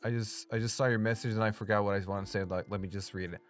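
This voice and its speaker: A man's voice: fairly low pitch, fairly even intonation, quick pace, soft background music. A young man from Romania with a slight Romanian accent, chatting casually with stream viewers, rambling with lots of "like" and freely swearing. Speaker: streamer and voice actor.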